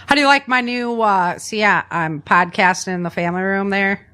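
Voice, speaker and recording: A woman's voice: medium pitch, moderate pace, sharp tone, clear, resonant tone. A woman hosting a podcast in casual, chatty conversation from a family room at home, using informal, slangy language. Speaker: podcast host.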